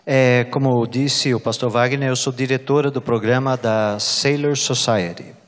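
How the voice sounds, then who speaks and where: A woman's voice: very deep voice, steady tone, unhurried. A woman with a Brazilian accent interpreting a church address live, phrase by phrase. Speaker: consecutive interpreter. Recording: echoey hall with long reverb.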